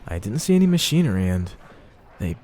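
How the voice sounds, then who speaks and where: A man's voice: fairly low pitch, very animated, fairly quick, a little mellow. A man playing an ordinary seventeen-year-old shut-in boy in an anime-style fan dub, reasoning casually to himself. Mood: musing, analytical. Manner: casual, self-talking, reflective.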